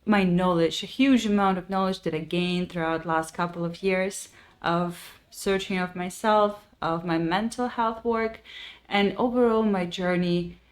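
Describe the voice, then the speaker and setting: A woman's voice: on the low side, steady tone, a little slow. A woman from Ukraine in her thirties, a podcast host and life coach telling her own story in an earnest, reflective way, with phrasing. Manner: earnest and reflective, with frequent restarts and non-native phrasing. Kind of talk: personal storytelling monologue.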